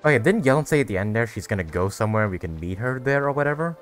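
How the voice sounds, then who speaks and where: A man's voice: medium pitch, expressive, very fast, faint music behind. A man doing casual let's-play commentary in a rambling, repetitive way. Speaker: gaming YouTuber.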